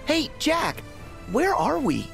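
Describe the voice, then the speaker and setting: Game cutscene role: eager, playful teenage boy hero, highish pitch, very animated, slowish delivery. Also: gravelly, faint music behind, heavily acted. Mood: eager, curious. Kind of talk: video game cutscene acting.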